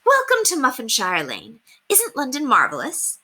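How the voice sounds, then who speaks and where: A woman's voice: sits high, huge pitch swings, normal speed, pushed and bright, breathy edge, pitched above the performer's own voice, full character voice. A woman voicing a polite, cheerful young governess in a children's storybook, composed and well-spoken. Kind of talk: children's storybook read aloud.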